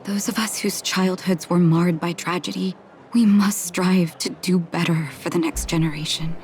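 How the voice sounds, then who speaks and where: A woman playing a kindly, reflective doctor in a video game, speaking in a gentle, thoughtful way. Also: on the low side, steady tone, slight background noise, natural voice. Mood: gentle, hopeful. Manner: gentle, thoughtful, measured, soft laughs and sighs.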